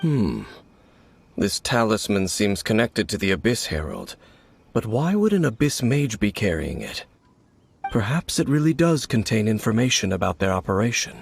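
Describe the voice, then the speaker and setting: An actor playing a grave, stoic and knowing warrior guide in a fantasy video game, speaking formally with solemn, measured delivery. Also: male voice, lowish voice, big pitch swings, vocal fry, slightly rough, heavily acted. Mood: serious, pondering. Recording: faint background noise.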